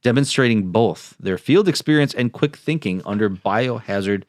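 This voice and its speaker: A man's voice: medium pitch, lively intonation, normal speed, no room sound, clean studio sound. A man co-hosting a podcast, reading plot summaries and chatting casually with wry, joking asides. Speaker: podcast co-host.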